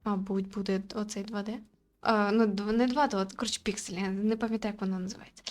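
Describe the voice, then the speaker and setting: A woman's voice: mid-range voice, steady tone, quick pace, a little mellow, faint music behind. A woman giving informal, somewhat hesitant commentary while playing a visual novel and reading it aloud. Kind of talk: casual playthrough commentary.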